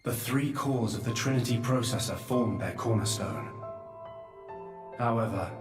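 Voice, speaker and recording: A male actor playing an ancient, remorseful creator in a video game, speaking gravely and solemnly in measured monologue. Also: fairly low pitch, natural voice. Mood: solemn explaining. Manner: grave, deliberate, measured delivery. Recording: echoey, distant, under loud music.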